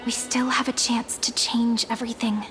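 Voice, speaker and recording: A woman playing a sweet, playful young companion in a video game, with a gentle, musing, teasing manner. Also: on the high side, flat delivery, moderate pace, music in the background, natural voice. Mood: earnest. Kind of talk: scripted game dialogue, anime-style.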